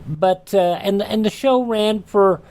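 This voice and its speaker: A man's voice: sits high, slow, a little mellow. A man hosting a radio show in a casual, folksy, somewhat rambling and hesitant way. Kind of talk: casual radio hosting and personal product pitch.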